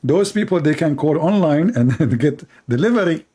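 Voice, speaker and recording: A man's voice: on the high side, lively intonation, moderate pace, dark tone, husky. A man, hosting a commentary show and mocking video clips in a sarcastic, rambling way with many fillers.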